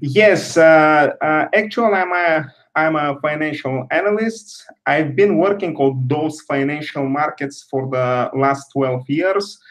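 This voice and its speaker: Guest, man, 30s, higher-pitched, measured and hesitant. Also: a bit bright. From Bulgaria. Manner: careful, hesitant with many pauses. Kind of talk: interview answers.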